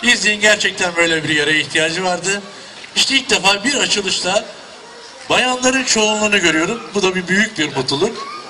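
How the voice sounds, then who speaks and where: A man's voice: high-pitched, lively intonation, brisk, sharp tone, a little hiss or hum. A man, a mayor, giving a folksy, joking ceremonial speech outdoors into a handheld mic. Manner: folksy, joking, ends with blessings. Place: outdoors at a shop opening.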